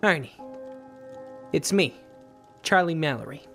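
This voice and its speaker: A man playing a troubled, stubborn police detective in an audio drama, halting and repeating himself between sighs. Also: fairly high pitch, animated, gravelly, over music. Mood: curt.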